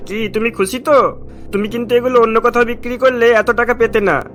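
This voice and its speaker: Man as a high, sharp-voiced scheming manager. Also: fairly even intonation, a little fast, faint music behind. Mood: smug. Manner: ingratiating, insinuating. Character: sly, scheming male estate manager, fawning to his master. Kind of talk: Bengali cartoon story dialogue.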